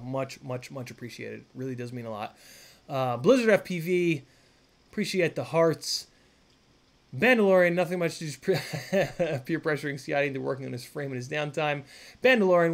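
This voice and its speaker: A man in his thirties streaming live from a home workshop, chatting casually with viewers, rambling and swearing with lots of fillers. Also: fairly high pitch. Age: 30s. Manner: rambling, profane, full of fillers and restarts. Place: home workshop room, indoors.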